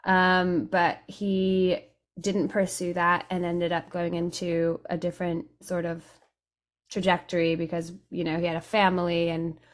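A woman who grew up in Europe, talking casually as an interview guest, explaining things reflectively with thinking pauses. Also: fairly low pitch, completely flat delivery. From Europe: Italy, England and France.

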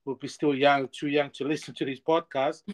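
A man hosting a podcast interview, lively and chatty, often repeating "amen" and filling his talk with restarts. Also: a little high, brisk. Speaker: podcast host.

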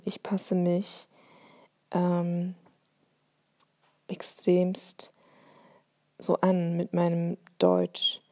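Slow, gravelly-voiced woman musing aloud. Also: fairly low pitch, mellow. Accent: light Bavarian German. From Bavaria. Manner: thoughtful, many hesitations and fillers. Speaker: podcaster. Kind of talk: reflective solo monologue.

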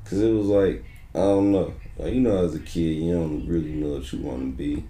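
Deep-voiced laid-back rapper. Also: male voice, fairly even intonation, brisk, warm tone, reverberant. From Detroit, USA. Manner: laid-back, loose slang with frequent "you know what I'm saying". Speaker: rapper guest. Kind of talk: casual interview chat.

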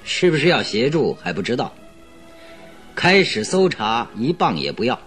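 A cool male master detective in a radio play. He is composed and blunt, his mid-pitched voice moving widely, over faint music. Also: big pitch swings, normal speed, fairly bright tone. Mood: cool, dismissive. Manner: terse, composed, dryly dismissive. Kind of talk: radio drama acting.

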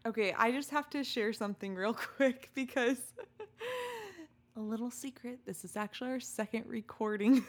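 A woman's voice: a little high, very animated, normal speed. Encouraging young woman co-host. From California, USA. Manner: warm, encouraging interviewer who prompts her friend. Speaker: podcast co-host. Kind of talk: casual chat.

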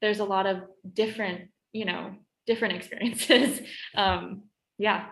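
Teen girl, student panelist, chatty and filler-heavy, middle pitch, roomy echo. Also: fairly even intonation, unhurried, vocal fry, a bit bright. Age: teens. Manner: chatty, frequent fillers, laughs between phrases. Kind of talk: casual conversation.

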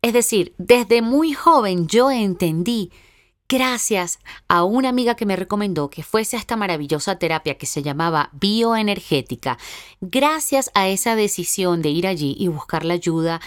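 A woman's voice: medium pitch, animated, normal speed, dry recording, studio quality. A young woman, hosting her own podcast in a heartfelt, reflective personal monologue. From Venezuela. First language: Spanish. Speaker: podcast host.

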